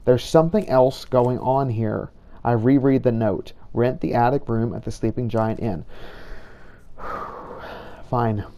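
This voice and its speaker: A man's voice: medium pitch, measured pace, long pauses, dark tone. A man narrating his game character's story in the first person, in a wry storytelling manner. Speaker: game player narrating a role-play.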